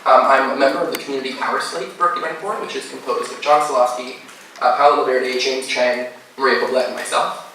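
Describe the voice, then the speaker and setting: A man's voice: medium pitch, fairly even intonation, fast talker, pushed and bright, a little hiss or hum. A young man in his twenties, a candidate, congested from a cold and talking with many fillers. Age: 20s. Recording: echoey hall, voice from far off.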